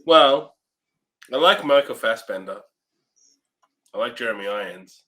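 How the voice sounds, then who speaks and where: A man's voice: medium pitch, animated, a little slow, sharp tone. A man co-hosting a casual movie review chat with a dry, sarcastic manner. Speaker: co-host of a movie review series.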